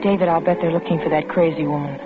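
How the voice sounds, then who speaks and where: An actress playing a nervous, fretful wife riding along on a dark road, anxious and insistent, in an old-time radio drama. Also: female voice, sits low, fairly even intonation, quick pace, close to an everyday voice. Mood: curious unease. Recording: muffled, very noisy old radio recording.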